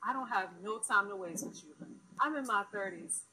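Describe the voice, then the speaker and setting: A woman's voice: medium pitch, expressive, normal speed, sharp tone, rough recording. A woman in her thirties delivering a blunt, sassy, emphatic comedic monologue to camera. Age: 30s.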